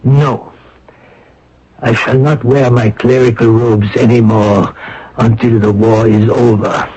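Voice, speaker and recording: An actor playing a kindly, grave wartime priest in a radio drama, speaking in a measured, gentle, formal way on an old broadcast recording. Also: male voice, mid-range voice, fairly even intonation, slightly rough, dull audio. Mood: dignified, resolute.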